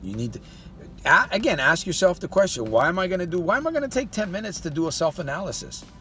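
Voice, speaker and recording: A man's voice: high-pitched, big pitch swings, quick pace, pushed and bright, soft background music. A man giving a motivational monologue as a life coach. He keeps firing rhetorical questions at the listener and talks to them in a folksy way, calling them "my friend".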